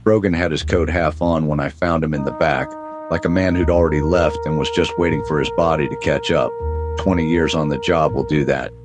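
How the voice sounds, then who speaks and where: A man narrating as a world-weary private detective, deadpan with dry wisecracks, in a 1930s-style noir radio drama. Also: extremely low pitch, a little fast, faint music behind.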